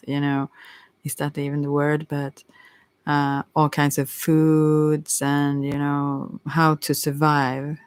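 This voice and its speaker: A non-native English speaker giving a casual, unscripted tarot reading in a hesitant, halting way, searching for words. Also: medium pitch, dry recording. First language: not English. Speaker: tarot reader.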